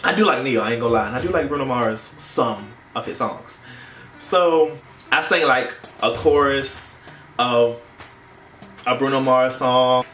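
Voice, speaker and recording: A man's voice: mid-range voice, unhurried, slight background noise. Echoey, casual young American man storytelling. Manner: animated storytelling, acting out quoted dialogue. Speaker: vlogger. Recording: roomy echo, distant mic, faint music.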